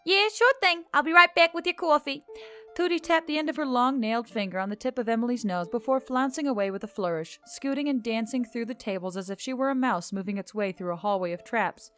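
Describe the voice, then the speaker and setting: A woman's voice: fairly high pitch, lively intonation, fast talker, few pauses, faint music behind. A woman reading scripted fiction as an audiobook narrator.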